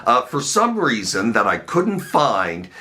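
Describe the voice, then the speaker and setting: A man with a cold and a hoarse voice, teaching a guitar lesson in a relaxed way with lots of "uh" fillers. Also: mid-range voice, slow. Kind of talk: guitar tutorial. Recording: guitar and faint backing music behind.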